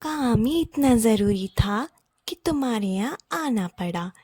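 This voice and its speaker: A woman's voice: on the high side, animated, unhurried, a little mellow, breathy edge, dry recording. A woman playing a visiting female acquaintance with pressing business in a children's cartoon. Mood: insistent. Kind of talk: Hindi children's cartoon dub.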